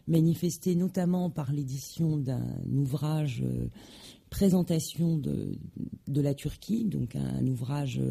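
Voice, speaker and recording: A woman giving a formal presentation at a conference, measured and halting, with many hesitations and self-corrections. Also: low voice, gravelly, mellow, slightly rough. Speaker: conference speaker.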